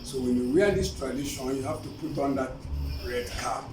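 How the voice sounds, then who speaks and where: A man's voice: mid-range voice, normal speed. A man from eastern Nigeria with an Igbo Nigerian accent explains things as an interview guest, using repetitive, emphatic phrasing. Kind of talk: informal explanatory interview answers. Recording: roomy, distant, clearly noisy recording.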